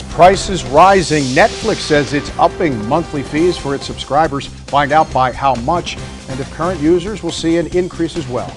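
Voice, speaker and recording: An American man reading a scripted local television newscast as the anchor. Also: fairly high pitch. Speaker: TV news anchor. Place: TV news studio.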